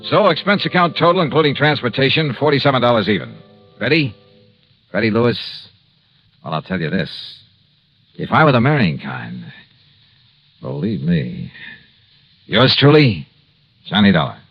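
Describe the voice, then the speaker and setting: Wry clipped radio detective, lively delivery, self-assured, muffled vintage sound. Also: male voice, medium pitch, normal speed, pauses often, breathy edge, no echo, faint music behind. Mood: brisk, wry. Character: wry, cool-headed male insurance investigator hero. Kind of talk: 1950s radio detective acting.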